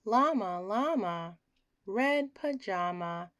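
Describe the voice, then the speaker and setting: A woman reading a children's bedtime story aloud in an expressive, theatrical way that acts out the emotions in the words. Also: mid-range voice, slow.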